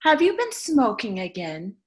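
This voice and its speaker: A woman playing a controlling, falsely doting witch mother in an irreverent radio play, with theatrical drawn-out commands. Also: medium pitch, wildly swooping pitch, darkish tone. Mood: nagging, motherly.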